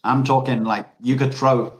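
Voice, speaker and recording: A man's voice: medium pitch, steady tone, moderate pace. A man with an Australian accent, chatting casually and telling rambling stories full of restarts and self-corrections. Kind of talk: casual chat.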